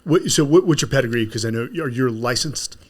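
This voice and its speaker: A man's voice: mid-range voice, fast talker. Casual middle-aged male podcast host. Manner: casual, chatty questioning with restarts. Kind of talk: podcast interview. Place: small podcast studio. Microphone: studio mic with headphones.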